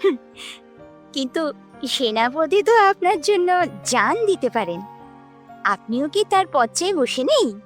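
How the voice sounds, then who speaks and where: A chatty, teasing young cartoon maid played by a woman. Her voice is extremely high and swoops about, over faint music. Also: big pitch swings, measured pace, pauses often. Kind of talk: animated fairy-tale story dialogue.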